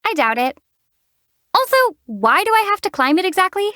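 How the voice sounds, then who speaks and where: Game lead: young female traveler, calm and deadpan teasing, high lively voice, dry booth. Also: normal speed, no room sound, exaggerated voice. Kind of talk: studio game voice acting. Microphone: studio mic.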